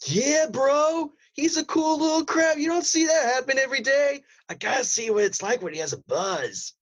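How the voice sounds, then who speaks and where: A man's voice: very high voice, expressive, normal speed, fairly bright tone, pitched above the performer's own voice. A man playing a paranoid, chummy, laid-back stoner surfer with a drawling, conspiratorial manner in improvised comedy. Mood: chummy, salesy. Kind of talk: improvised comedy role-play.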